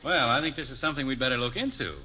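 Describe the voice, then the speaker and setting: A man's voice: mid-range voice, very fast, bright, forceful tone. An actor playing a wry, easily exasperated American father and husband in a 1950s radio family sitcom. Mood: reasoning. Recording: muffled vintage radio recording, faint noise.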